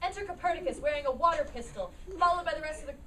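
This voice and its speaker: A woman giving animated scripted narration, reading out stage directions at a staged play reading. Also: high-pitched, rapid-fire. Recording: distant mic in noisy room.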